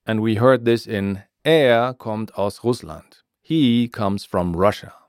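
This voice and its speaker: A man presenting a scripted language lesson as teacher and host, speaking deliberately and repeating phrases for learners. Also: on the low side, background noise.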